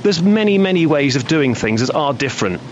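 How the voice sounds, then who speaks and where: A man speaking as a radio guest, firm and measured, listing his points one by one. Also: fairly high pitch, big pitch swings, muffled recording, slight background noise.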